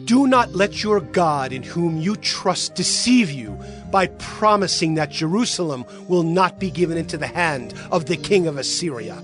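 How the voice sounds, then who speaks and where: Casting: high-voiced, boastful ancient king played by a man, declamatory and taunting, music underneath. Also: expressive, normal speed, a bit bright. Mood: boastful menace. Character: taunting, boastful Assyrian king delivering threats. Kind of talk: dramatized scripture reading.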